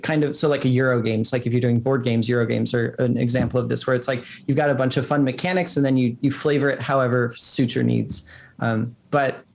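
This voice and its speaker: A man's voice: mid-range voice, creaky. A man being interviewed as a game designer, talking casually in rambling explanations peppered with "like".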